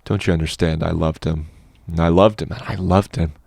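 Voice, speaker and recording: A man's voice: low voice, steady tone, fairly quick, creaky, a little mellow, dry recording, clean studio sound. A man voicing a grieving young woman who speaks with earnest, breaking sorrow. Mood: pleading.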